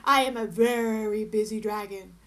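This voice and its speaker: A woman voicing a big, boastful, grumpy male dragon in a children's story, with a loud, drawn-out, self-important delivery. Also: mid-range voice, steady tone, pitched below the performer's own voice, natural voice. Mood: pompous, dismissive.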